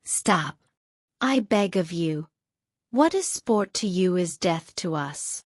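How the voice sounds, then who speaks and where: An urgent little storybook frog pleads for mercy, as a woman narrator acts it out. The delivery is beseeching, with swooping pitch, recorded dry. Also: expressive, takes it slowly, no echo. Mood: pleading. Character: pleading frog begging cruel boys to stop.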